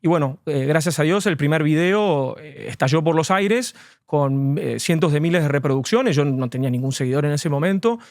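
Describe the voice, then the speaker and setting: A man's voice: on the high side, expressive, moderate pace, no echo, clean studio sound. A man, an immigration lawyer, answering interview questions earnestly and at length, with frequent fillers.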